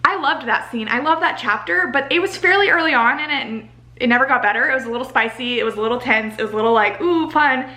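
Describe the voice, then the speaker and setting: A young American woman giving a casual, chatty book review in an animated, exasperated ranting manner, saying "like" a lot. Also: high-pitched, fast talker. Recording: roomy home echo.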